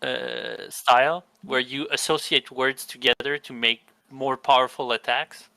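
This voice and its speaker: A man's voice: mid-range voice, steady tone, unhurried, gravelly, bright, forceful tone, dry recording. A young man hosting a gaming podcast, describing games in a rambling, casual way with many restarts. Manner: rambling, restarts mid-sentence. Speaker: podcast host. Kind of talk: casual chat.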